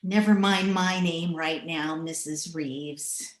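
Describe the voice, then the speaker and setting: Echoey room, woman acting as embittered Old West widow, very slow delivery, curt, hard, radio drama. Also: low-pitched, steady tone. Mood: guarded. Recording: echoey room over video call.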